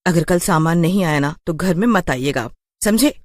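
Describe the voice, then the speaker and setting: A woman's voice: on the low side, expressive, normal speed, a little mellow, no room sound, studio quality, lightly acted. A woman voicing a nagging, urgent wife and mother in a cartoon horror story. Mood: scolding, impatient. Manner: scolding, urgent, exclamatory. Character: nagging, alarmed young mother and wife ordering husband around.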